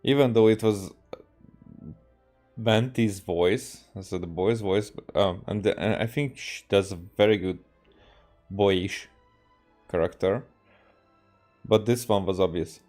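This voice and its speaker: Slow, low-voiced man commenting on a game. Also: a little mellow, faint music behind. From Hungary. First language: Hungarian. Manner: halting, thinking aloud. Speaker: game streamer. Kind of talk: casual playthrough commentary.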